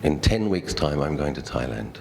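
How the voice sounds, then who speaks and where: Casting: middle-aged Australian male, extremely low register, Buddhist teacher sharing personal anecdotes conversationally and reflectively, light background noise. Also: big pitch swings, normal speed, creaky. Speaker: Buddhist monk giving a talk. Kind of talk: dhamma talk with personal storytelling.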